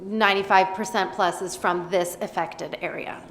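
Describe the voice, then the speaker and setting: A woman's voice: fairly low pitch, fairly even intonation, moderate pace, noisy background. Polite woman questioning in a chamber. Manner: polite, probing. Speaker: committee member. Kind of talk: questioning at a meeting. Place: council chamber. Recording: roomy chamber sound.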